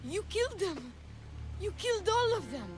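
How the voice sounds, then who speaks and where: A frightened young boy in a video game. The voice is piercingly high and panicked. Loud music plays underneath. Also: big pitch swings, moderate pace. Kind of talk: dramatic game cutscene acting.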